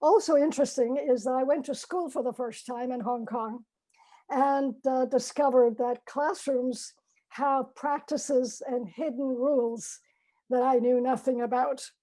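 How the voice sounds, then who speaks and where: A woman's voice: high voice, steady tone, measured pace, poor audio. An older woman, a professor, telling her life story in a reflective talk on a video call, with frequent fillers and restarts. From China. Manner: gentle, many fillers and restarts. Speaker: professor giving a personal talk. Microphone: video call mic.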